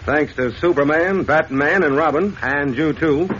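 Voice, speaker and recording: An actor playing a confident, gruff police inspector in a 1940s radio drama, brisk and assured. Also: male voice, on the high side, a bit bright. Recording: muffled, hissy old radio recording.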